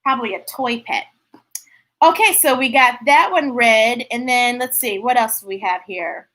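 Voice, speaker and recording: Woman, children's story reader, slow pace, bright tone, playful, chatty, sing-song touches. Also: on the high side. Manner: playful, breaking into sing-song and sound effects; chatty, talking directly to young listeners. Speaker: children's story read-aloud host. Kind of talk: animated picture-book read-aloud with chatty asides.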